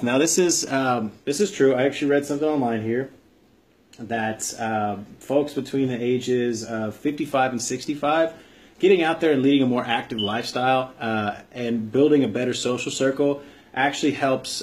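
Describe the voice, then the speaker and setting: A man's voice: mid-range voice, slightly rough. A man hosting a vlog, giving motivational advice in an informal, earnest, explanatory way. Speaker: vlog host, army veteran. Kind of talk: motivational advice talk to camera.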